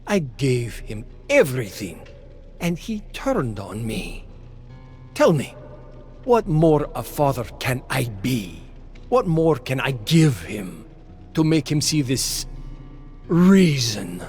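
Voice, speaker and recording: Imperious vampire lord, brooding, grave, theatrical game villain, music behind. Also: male voice, medium pitch, very animated, slow pace, a little mellow. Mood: bitter, indignant. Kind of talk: dramatic game cutscene acting.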